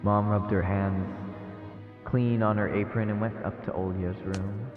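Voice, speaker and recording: A phone-like, reverberant recording of a man with a low voice. He reads visual novel narration aloud in a casual streamer's way, and music plays behind him. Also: steady tone, unhurried. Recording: echoey, phone-like narrow sound, long reverb. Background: game music.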